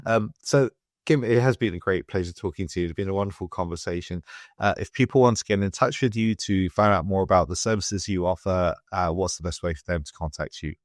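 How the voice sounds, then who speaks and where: A middle-aged British man with a very low, deep voice hosts a podcast conversation. He speaks softly and hesitantly, often restarting his sentences. The sound is dry and close to the mic. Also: a little fast, no room sound. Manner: soft-spoken, hesitant, many fillers and restarts. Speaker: podcast host. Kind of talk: casual interview chat.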